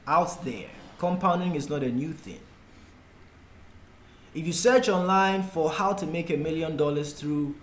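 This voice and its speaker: Ghanaian man, slow-talking tutor in echoey room. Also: on the high side. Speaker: forex trading tutor. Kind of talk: explanatory tutorial talk, unscripted. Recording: echoey room with faint background noise.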